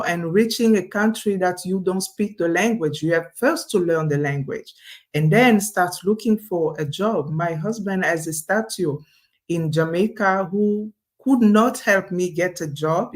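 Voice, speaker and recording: Woman, from Congo, interview guest, chatty, fillers, video call. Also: on the low side. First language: French. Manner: chatty, laughs often, many fillers and restarts. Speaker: guest, online business owner. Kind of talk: casual interview talk.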